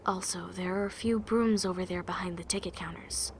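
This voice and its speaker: A woman plays a composed young female survivor in an audio drama. She is polite, practical and reassuring in a slightly low voice, with some background noise. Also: fairly even intonation, brisk, close to an everyday voice.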